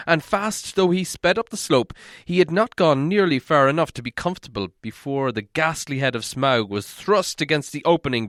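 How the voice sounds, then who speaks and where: Guy narrating a storybook, expressive, really animated pitch, close dry sound. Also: no room sound. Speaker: audiobook reader.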